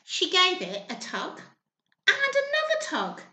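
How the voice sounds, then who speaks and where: A woman reading a story aloud to small children in an expressive, encouraging way, often asking questions. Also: very high-pitched, a little slow. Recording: roomy echo, faint background noise.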